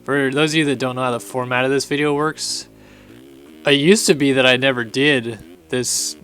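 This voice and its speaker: A young man hosting a casual video walkthrough, his voice hoarse and raspy from having lost it, chatty and upbeat. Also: mid-range voice, steady tone, normal speed, fairly bright tone, soft background music, rough recording. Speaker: host. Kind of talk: casual game track walkthrough commentary.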